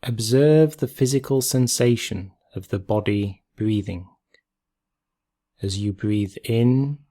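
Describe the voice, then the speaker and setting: A man leading a guided meditation in a calm, gentle, measured way, leaving long pauses between phrases. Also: lowish voice.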